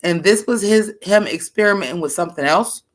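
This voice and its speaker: A woman rapper with a deep, bass-heavy voice, chatting loosely to her viewers as she reacts to her own old album. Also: fairly quick. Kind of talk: casual reaction commentary.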